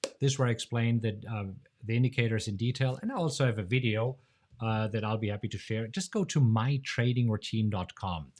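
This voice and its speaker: A man from Germany with a German accent, hosting a casual live-stream Q&A in a chatty, jokey way, laughing often and jumping up in pitch. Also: brisk, a little mellow. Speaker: host of a live trading show.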